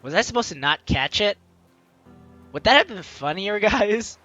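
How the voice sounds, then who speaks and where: Male let's play host, high-pitched and very lively, chatty commentary over faint music. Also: normal speed, no echo, lo-fi sound. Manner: animated, chatty, self-interrupting asides. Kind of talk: game commentary mixed with reading dialogue aloud.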